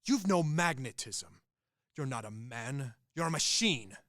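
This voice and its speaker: A man's voice: medium pitch, expressive, unhurried, fairly bright tone, no echo, studio quality. A man acting a hot-blooded, grandly disillusioned young army officer in a radio play, in a grandiose, declamatory manner. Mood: defiant, proud.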